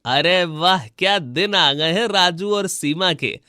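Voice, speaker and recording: Gossipy cartoon villager, voiced by a man: exclamatory, highish pitch, swooping intonation, dry sound. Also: lively intonation, slow, pushed and bright, smooth, dry recording, heavily acted. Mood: mocking. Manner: exclamatory, emphatic.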